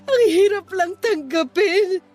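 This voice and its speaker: A woman acts out a despairing young mother in a radio drama. Her very high voice is plaintive and full of bitter grief, with music playing underneath. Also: slow, darkish tone. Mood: mournful. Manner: anguished, plaintive. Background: background music.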